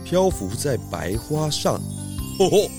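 A man playing a confident, thoughtful pig detective in a children's audio drama, explaining clues deliberately. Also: on the high side, big pitch swings, music in the background, heavily acted. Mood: excited, discovering.

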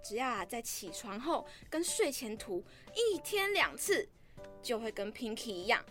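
A woman acts out a young female livestream seller in a radio drama, very high and bright in pitch and tone. She is bubbly and vain, coy with her fans and gushing over skincare, with music playing behind her. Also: big pitch swings, measured pace. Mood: sweet sales pitch. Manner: cutesy, coy, gushing sales patter.

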